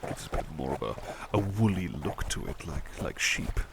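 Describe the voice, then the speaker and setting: A man playing a devoted, tender butler in an ASMR roleplay, murmuring softly and a little hesitantly to the listener. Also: low-pitched, lively intonation, normal speed, creaky, very noisy. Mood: gentle, absorbed. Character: devoted, tender male butler who loves painting.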